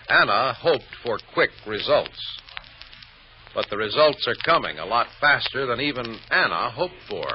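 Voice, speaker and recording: A man's voice: medium pitch, a little hiss or hum. Male radio serial narrator, muffled old recording.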